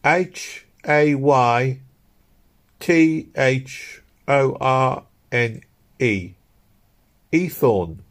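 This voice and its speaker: A man's voice: fairly high pitch, expressive, measured pace, voice pushed up. A man voicing a chatty, easily delighted young working-class London woman with a Cockney accent in an audiobook. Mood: eager, deferential. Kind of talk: audiobook character dialogue.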